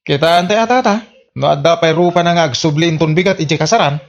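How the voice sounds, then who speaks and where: A man's voice: a little high, fast, highs cut off, close to an everyday voice. A man with an Ilocano Filipino accent playing a smug, gossipy village prankster in a comedy radio drama.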